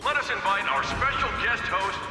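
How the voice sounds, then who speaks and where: Man acting a high-voiced announcer in echoing hall. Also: normal speed, close to an everyday voice. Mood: formal welcome. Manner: formal, projecting to a crowd. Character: formal male ceremony announcer, courteous and proud. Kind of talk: ceremonial announcement in a video game. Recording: very echoey hall, distant, loud music.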